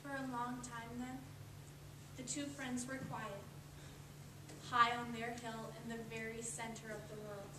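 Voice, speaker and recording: Female readers theatre narrator, deliberate storytelling delivery; distant mic with noticeable noise. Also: fairly high pitch, level tone. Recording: echoey room, distant mic, clear noise.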